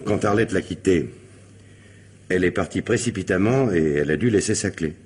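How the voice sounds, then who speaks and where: An actor playing a wronged husband suspected of murder, guarded, hesitant and protesting, in a French radio crime drama. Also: male voice, deep voice, animated, a little fast, darkish tone, slight background noise. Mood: protesting, defensive.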